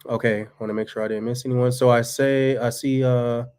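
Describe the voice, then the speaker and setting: A man's voice: medium pitch, fairly quick, smooth. A man in his thirties, co-hosting a home livestream in casual, rambling chat. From Texas. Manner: relaxed and rambling, with many fillers. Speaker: livestream co-host. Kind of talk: casual chat reading viewer comments.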